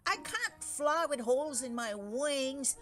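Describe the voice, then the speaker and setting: A woman's voice: sits high, animated, slow pace, fairly bright tone, soft background music, pitched above the performer's own voice, full character voice. A woman voicing a put-upon male storybook dragon who protests and pleads with exclamations. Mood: pleading.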